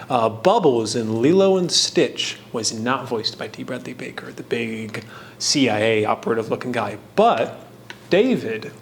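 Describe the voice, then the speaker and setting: A man giving casual, rambling commentary as the host of a hands-on craft video, with a halting delivery that meanders and trails off between thoughts. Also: mid-range voice, slight background noise. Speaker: host of a painting and fan trivia video.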